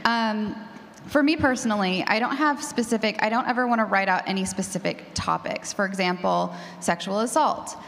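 A woman's voice: medium pitch, normal speed, a bit bright. A woman speaking as a panelist in a live discussion, informal and thoughtful. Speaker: panelist, horror fiction podcaster. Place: large echoey room at a convention panel. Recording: echoey hall.

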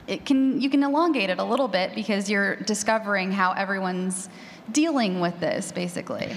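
A woman's voice: mid-range voice, a little fast, a little hiss or hum. A woman speaking informally on a panel as a horror fiction podcaster. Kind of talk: casual panel discussion. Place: large echoey room at a convention panel. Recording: echoey hall panel recording.

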